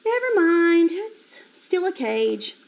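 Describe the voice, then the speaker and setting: A woman's voice: very high voice, very animated, takes it slowly, gravelly, highs cut off, voice pushed up, full character voice. Woman doing a squealing eager young piglet. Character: spunky adventurous young female piglet.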